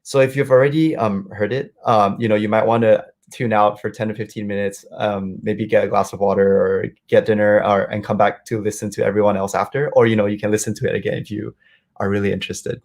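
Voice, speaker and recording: A man from Malaysia speaks over a video call with a low, gravelly voice. He presents at an easy pace, leaning on ums and likes as he explains his work. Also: a little fast. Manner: relaxed, many ums and likes. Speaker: guest speaker, software engineer. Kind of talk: online meetup presentation.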